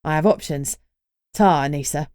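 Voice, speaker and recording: A woman voicing a cheeky, easygoing young man with a Cockney accent, talking low and quick. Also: darkish tone, clean tone, no room sound, studio quality, lightly acted. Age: young adult. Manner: cheeky, laid-back, quick talk.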